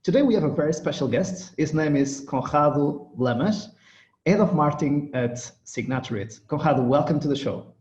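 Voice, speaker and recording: A man's voice: fairly high pitch, animated, moderate pace, darkish tone. A Portuguese man, a native Portuguese speaker, hosts a business interview podcast, opening with a scripted welcome and trailing off at the ends of phrases. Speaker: podcast host. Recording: dull roomy sound.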